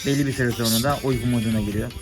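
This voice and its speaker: Man calmly demonstrating a gadget. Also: medium pitch, racing, over loud music. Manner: steady, formal explaining. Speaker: motorcycle shop presenter. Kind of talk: product demonstration. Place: indoors, under a building.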